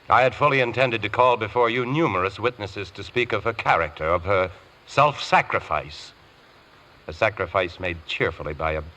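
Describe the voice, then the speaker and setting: A man's voice: deep voice, pushed and bright. A man playing an eloquent, intense defence barrister in a vintage radio courtroom drama, speaking in a formal, pressing way. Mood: impassioned, formal. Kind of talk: 1940s-50s radio courtroom drama acting. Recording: faintly noisy vintage radio recording.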